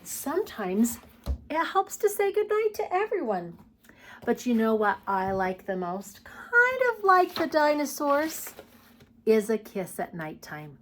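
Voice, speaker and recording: A woman reading picture books aloud to preschoolers as a storytime host, expressive and putting questions to young listeners. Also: on the high side, unhurried, slight background noise. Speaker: children's storytime host and reader. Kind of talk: read-aloud picture book narration for preschoolers.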